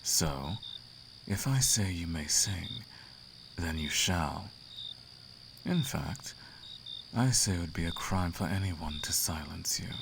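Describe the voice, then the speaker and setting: A man's voice: low-pitched, expressive, slow, pauses often, noisy background, full character voice. A man playing a suave, flirtatious, imperious demon prince in an intimate roleplay monologue, teasing and silky with grand formal phrasing. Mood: amused, admiring. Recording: clear outdoor cricket ambience behind.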